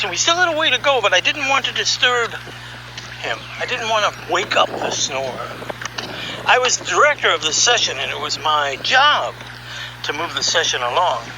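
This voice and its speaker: A man narrating a podcast story in a conversational, wry and musing way, with emphatic phrasing and dramatic pauses. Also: high voice, very animated, bright, forceful tone, a little hiss or hum, poor audio. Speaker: storyteller and voice session director.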